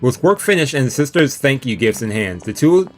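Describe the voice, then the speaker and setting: Streamer guy reading a visual novel out loud, slangy side comments, mid voice, faint music behind. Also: mid-range voice, sing-song, fairly quick. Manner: informal, slangy, reacts in asides. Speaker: let's play streamer.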